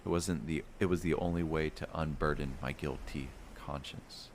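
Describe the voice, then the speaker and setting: A man narrating a first-person fantasy bedtime story in a measured, dramatic storytelling manner. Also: rumbling low, fairly even intonation, a little hiss or hum.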